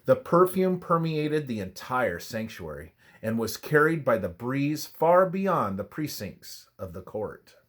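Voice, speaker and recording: Male reader for scripted devotional narration, mid-pitched, measured and reverent delivery. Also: sing-song, a bit bright. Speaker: reader of a religious study.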